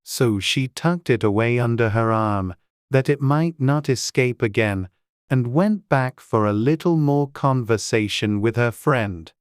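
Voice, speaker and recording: Guy reading a storybook audiobook, really smooth clear voice, measured, medium pitch, dry close recording. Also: dry recording. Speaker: audiobook narrator. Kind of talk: scripted storybook narration.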